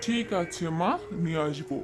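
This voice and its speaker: A man's voice: fairly high pitch, lively intonation, moderate pace, music in the background, natural voice. A man voicing a kindly, weary middle-aged father in a cartoon fairy tale, affectionate and plaintive.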